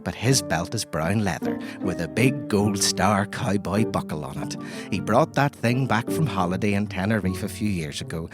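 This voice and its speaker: A man as a low-voiced boastful Irish pub storyteller. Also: lightly acted. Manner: wry, digressive, colloquial. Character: boastful, rambling male Irish pub keeper and monster fighter. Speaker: narrator. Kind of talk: comic first-person storytelling narration.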